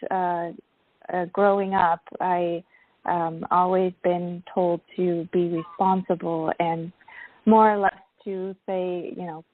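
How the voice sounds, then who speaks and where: Slow, thoughtful woman on a phone-like line. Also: fairly low pitch, dry recording. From born in Vietnam, grew up in the United States. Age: 30s. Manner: hesitant, thoughtful, halting. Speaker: interview guest. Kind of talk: reflective personal storytelling. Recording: narrow phone-like sound.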